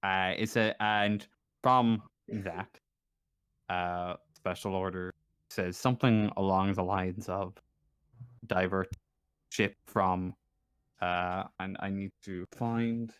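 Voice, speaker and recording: A man running a tabletop roleplaying game as game master, talking casually and often halting as he searches for his words. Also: deep voice, fairly even intonation, fairly bright tone, smooth, no room sound.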